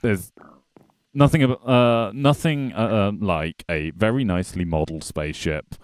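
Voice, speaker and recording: A man's voice: lowish voice, normal speed, clean tone, dry recording. Chatty man streaming a game. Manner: chatty, jokey, talks to chat and game animals. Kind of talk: casual gameplay commentary reading chat.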